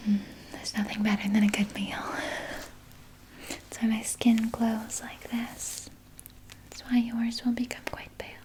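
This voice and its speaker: A woman playing a sinister, soothing vampire nurse in an ASMR roleplay, speaking in a soft, breathy whisper with coaxing, falsely reassuring words. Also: fairly high pitch, poor audio. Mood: musing, faintly menacing. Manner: slow, coaxing, falsely reassuring, close personal address. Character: sinister, soothing female vampire nurse tending her captive patient.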